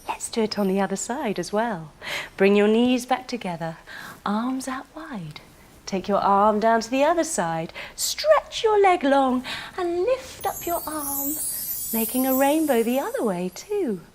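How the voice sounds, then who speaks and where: A woman leading a children's yoga story in a playful, expressive, encouraging way, acting out the movements and sounds as she goes. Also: on the high side, measured pace. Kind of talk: animated storytelling lesson for young children.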